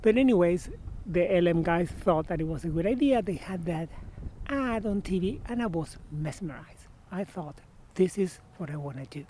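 Argentine-accented man in his sixties, animated. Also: high-pitched, normal speed, few pauses, slight background noise, low-quality recording. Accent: Argentine Spanish. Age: 60s. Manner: animated storyteller, trailing and restarting phrases. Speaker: interview guest. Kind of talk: casual outdoor interview. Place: outdoors on benches by a marina.

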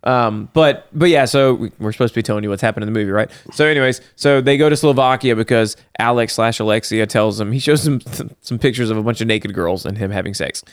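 Animated man recapping a movie. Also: lowish voice, fast, hardly any pauses, clean tone, dry recording, studio quality. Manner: animated, laughing, talks through the plot. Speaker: podcast co-host recapping a movie. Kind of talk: casual chat.

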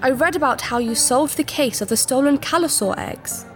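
An actress playing a proud, secretive alien princess in disguise, formal and precise, in a sci-fi audio drama. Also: female voice, sits high, normal speed, soft background music, close to an everyday voice. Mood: earnest, businesslike. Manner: formal, precise, earnest, easily affronted.

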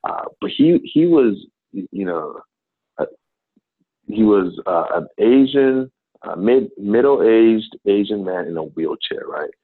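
Husky low-voiced man on a tinny line. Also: expressive, takes it slowly. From Ghana. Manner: rambling, many fillers and restarts. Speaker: business consultant, guest. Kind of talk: casual interview talk.

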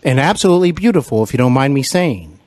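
A man's voice: mid-range voice, lively intonation, fast, darkish tone, no room sound. A man hosting a conversational interview, effusive and deferential, often feeling his way through his phrasing. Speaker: podcast host and interviewer.

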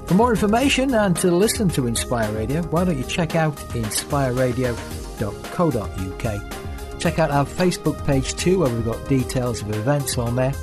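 A man reading a scripted radio station promo in a smooth, promotional manner. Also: mid-range voice, big pitch swings, normal speed. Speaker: radio announcer. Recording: announcement over music bed.

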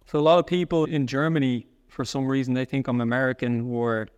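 A man in his thirties with a soft Irish accent speaks quickly and earnestly, often restarting his sentences. His voice has a middle pitch. It carries a long reverb, as if recorded in a large space. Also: fairly even intonation, clean tone. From Ireland. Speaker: guest. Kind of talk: conversational interview.

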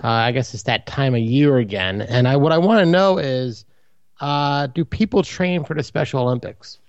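A man's voice: mid-range voice, normal speed, no echo, lo-fi sound. A man from Maryland with a light Baltimore accent, co-hosting a casual podcast and setting up edgy jokes with a straight face. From Maryland, USA. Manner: deadpan, provocative jokes. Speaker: podcast co-host. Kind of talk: casual chat.

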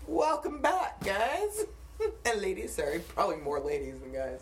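Animated laughing woman podcaster. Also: mid-range voice, a little slow, a little hiss or hum. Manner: animated storytelling with frequent laughter. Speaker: podcast co-host. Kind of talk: casual chat.

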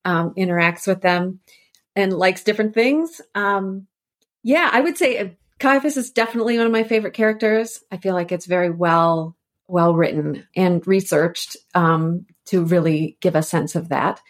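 A woman speaking as an expert guest in a conversational podcast interview, explaining things informally with animated asides. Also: lowish voice, moderate pace. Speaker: expert guest, annotated edition editor.

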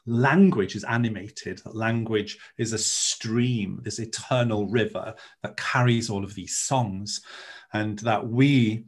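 A man speaks in a reflective, lyrical way. His voice sits at an ordinary middle pitch for a man. His sentences are earnest and searching, and he often restarts them. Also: husky. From Wales. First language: Welsh. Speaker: interviewee, Druid. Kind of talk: reflective interview talk.

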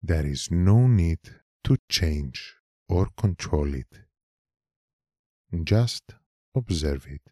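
A man leading a guided meditation in a calm, measured manner, leaving long pauses between short phrases. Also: deep voice, husky, no echo, studio quality. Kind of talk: slow guided meditation narration.

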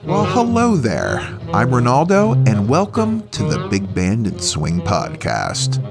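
A man presents a music show in a low voice with a touch of gravel. His pitch swings widely as he talks straight to the listener. The delivery is chatty and playful, in the manner of a scripted radio host. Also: wildly swooping pitch, slow.

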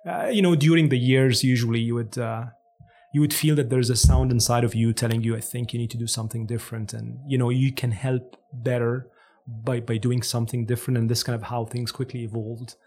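A man's voice: medium pitch, level tone, a little fast, a little mellow. A man from Egypt, talking enthusiastically as an interview guest with frequent fillers. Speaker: interview guest, business founder. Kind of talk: conversational interview.

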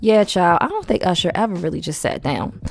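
Female podcast host, congested and hoarse from a cold, casual and sassy, soft music bed. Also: fairly low pitch, big pitch swings, fairly quick, dry recording. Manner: chatty, slangy asides. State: head cold, losing voice.

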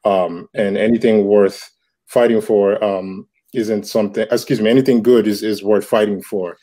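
Mellow-voiced Nigerian-born man in his thirties. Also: fairly low pitch, fairly even intonation, normal speed. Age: 30s. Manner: reflective, many fillers and restarts. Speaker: comic book creator. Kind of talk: casual interview talk.

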